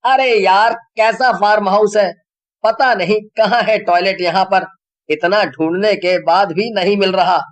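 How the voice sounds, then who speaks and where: Woman acting a party-loving young man, story character, cheeky, exclamatory, slow pace. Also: medium pitch, muffled recording, lightly acted. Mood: whiny, annoyed.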